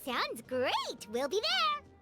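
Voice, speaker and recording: Squeaky, childlike, chirpy fairy sidekick. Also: female voice, wildly swooping pitch, takes it slowly, full character voice. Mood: excited. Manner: chirpy, dramatic. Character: tiny cheerful floating fairy companion, childlike, third-person talker. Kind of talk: video game voice acting. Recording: loud game music behind.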